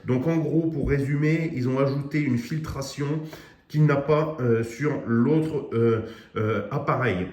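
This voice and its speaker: A man's voice: on the high side, a little mellow, echoey room. A man giving a casual product review of a radio, with lots of fillers and restarts. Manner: hesitant, many fillers and restarts.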